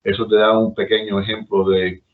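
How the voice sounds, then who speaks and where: Middle-aged Cuban man, Cuban accent, low voice over a tinny phone line, rambling storyteller. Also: little pitch movement, moderate pace. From Cuba. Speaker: guest. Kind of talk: casual chat.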